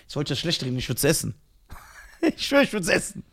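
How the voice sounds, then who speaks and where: A man's voice: fairly high pitch, wildly swooping pitch, brisk, gravelly, fairly bright tone, breathy edge. A man from Iran co-hosting a casual podcast chat, reading entries from a list aloud with mock drama. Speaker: podcast co-host.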